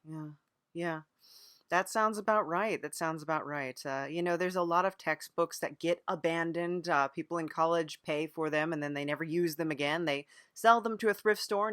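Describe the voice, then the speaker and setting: A woman's voice: lowish voice, moderate pace, a bit bright, no room sound, clean studio sound. A woman interviewing on an improvised comedy podcast, playing along earnestly in a hesitant way, often repeating her phrases. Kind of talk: improvised mock-serious interview.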